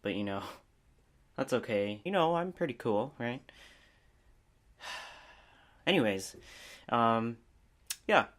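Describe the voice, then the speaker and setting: A man's voice: mid-range voice, expressive, slow pace, long pauses, a bit bright. A man giving a casual, unscripted review as a video host, rambling informally with a self-deprecating manner.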